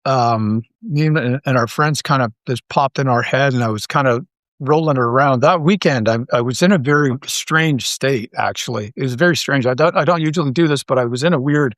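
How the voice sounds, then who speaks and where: A man's voice: mid-range voice, brisk, fairly bright tone, no echo, studio quality. A Canadian man chatting casually as an interview guest, often breaking off and repeating words as he tells his story. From Toronto, Canada. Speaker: podcast guest, audio drama writer.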